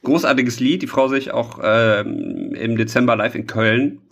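A man's voice: medium pitch, a little slow, a bit bright, husky. A man co-hosting a podcast in casual banter, joking and playful as he chats. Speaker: podcast co-host.

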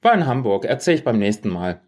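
A man's voice: medium pitch, sing-song, fast talker, darkish tone. A man co-hosting a casual podcast, chatting in a teasing, playfully blunt way. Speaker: podcast co-host. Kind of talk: casual banter chat.

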